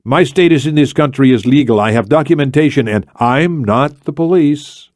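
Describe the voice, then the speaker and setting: A male narrator plays a wary Algerian man from a thriller audiobook, shrewd and snappish. His questions come curt and suspicious, lifted in pitch in a close, dry recording. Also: moderate pace, darkish tone, dry recording, natural voice. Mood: snappish, defensive.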